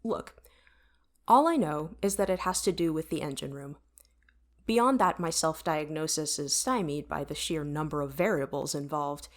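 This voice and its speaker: A woman's voice: low-pitched, moderate pace. A woman playing a vain, witty and easily flustered starship computer in an audio drama, talking in a wordy, technical way. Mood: boastful, defensive.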